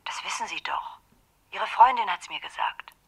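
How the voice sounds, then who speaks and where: A woman's voice: medium pitch, big pitch swings, normal speed, vocal fry, whispered. An actress playing a wary woman on a late telephone call in a noir radio drama. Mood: wary, pointed.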